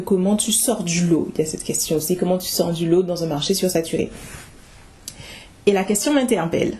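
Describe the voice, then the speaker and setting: A woman's voice: fairly low pitch, warm tone. A young woman vlogging casually about online business, thinking aloud with restarts and rhetorical questions. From France. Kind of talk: casual talking-head vlog.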